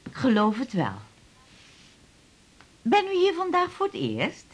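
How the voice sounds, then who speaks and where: A woman's voice: sits high, wildly swooping pitch, unhurried, slightly rough, heavily acted. An actress playing a middle-aged mother, fussy and stammering, who chats hesitantly about her teenage daughter. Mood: fretful. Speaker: patient's mother. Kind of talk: 1980s radio drama acting.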